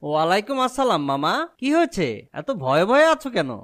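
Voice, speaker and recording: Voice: medium pitch, sing-song, quick pace, a bit bright. A performer voicing a teasing, boastful grown-up who explains things in a comic cartoon. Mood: teasing, explaining. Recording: clearly noisy with clicks.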